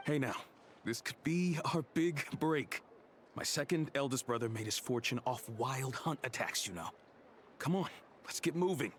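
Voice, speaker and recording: A man's voice: mid-range voice, expressive, normal speed, a little hiss or hum, lightly acted. A man playing an eager, opportunistic fortune seeker in a video game.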